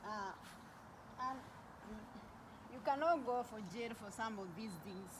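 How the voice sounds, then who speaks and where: Slow-talking Kenyan woman, noisy recording. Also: fairly high pitch. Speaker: vlogger. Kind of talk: casual vlog chat.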